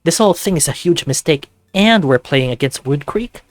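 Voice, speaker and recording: A man voicing a grumpy, excitable teenage boy on a school soccer team in a visual novel, exasperated and sarcastic. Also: fairly high pitch, moderate pace, no room sound, faint music behind, pitched above the performer's own voice, close to an everyday voice. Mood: agitated ranting.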